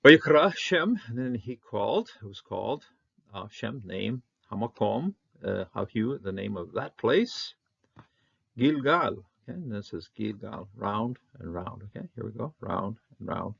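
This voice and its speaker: A man with a low, husky, gravelly voice works through a scripture text, stopping and restarting often and lifting his voice on each foreign word. The recording sounds dull and lo-fi. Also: lively intonation. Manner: halting, many fillers, repeats, bursts on Hebrew words. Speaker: Bible Hebrew teacher. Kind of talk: unscripted verse-by-verse Hebrew lesson.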